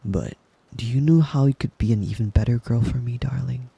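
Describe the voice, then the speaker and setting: Man voicing a possessive young wolf-boy lover, ASMR roleplay, soft mellow tone, gravelly fry, breathy whispering, doting, soothing. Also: mid-range voice, no room sound. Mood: adoring, praising. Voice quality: breathy, husky, often dropping to a whisper. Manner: tender, doting, slow and lingering with pet names. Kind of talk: intimate ASMR roleplay, soothing bedtime murmur.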